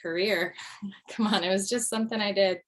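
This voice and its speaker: A woman's voice: mid-range voice, fairly even intonation, normal speed, natural voice. A woman playing a dry, hesitant middle-aged widowed mother who used to do standup, in a comedy table read. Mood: evasive. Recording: video call.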